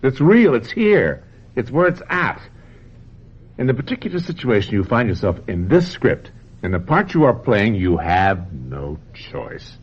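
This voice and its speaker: An actor playing a manipulative, domineering older film director in a radio drama, speaking theatrically and insistently with deliberate, condescending emphasis. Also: male voice, medium pitch, very animated, husky. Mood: condescending, forceful. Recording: dull, noisy old radio drama recording.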